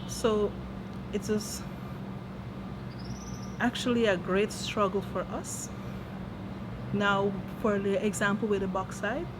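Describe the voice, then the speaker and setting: A woman's voice: mid-range voice, steady tone, slow pace, pauses often. A woman with a Guyanese accent, a village leader answering interview questions in a plainspoken, hesitant way. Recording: loud background noise.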